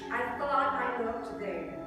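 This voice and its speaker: An irritable, overweight mother, acted by a woman at a script reading, high and unhurried in her delivery. The recording has a roomy echo with music over it. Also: animated, recorded from a distance. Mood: flat, questioning. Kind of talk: table reading of a screenplay.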